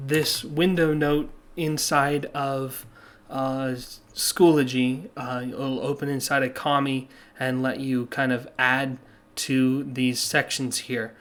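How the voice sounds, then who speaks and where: A man teaching a recorded class lesson over slides, with a slightly hoarse voice and a plain, patient way of explaining that uses many "uh" fillers. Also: mid-range voice, fairly bright tone.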